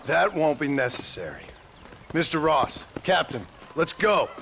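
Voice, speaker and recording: A man acting as a weary, wary outlaw gunslinger in a western video game, talking in a gruff, dry, sceptical way. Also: on the high side, big pitch swings, a little slow, fairly bright tone, a little hiss or hum, exaggerated voice. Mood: grim resolve.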